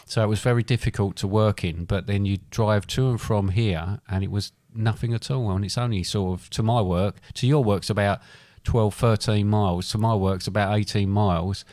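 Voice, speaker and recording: A man's voice: lowish voice, fairly even intonation, moderate pace, few pauses, a little mellow, no echo. Middle-aged man, dry close recording. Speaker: podcast co-host. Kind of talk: casual chat.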